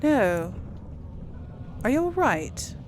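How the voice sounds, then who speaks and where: Slow, hesitant woman thinking aloud. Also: fairly high pitch, sing-song, noisy background. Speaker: gaming video host. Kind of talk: casual let's play commentary.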